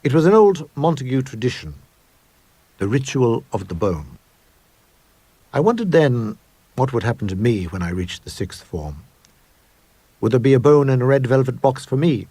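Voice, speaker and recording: A man reading a first-person ghost story in a measured, grave, dramatic storytelling manner. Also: mid-range voice, very animated, dark tone, slightly rough, dry recording. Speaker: story reader.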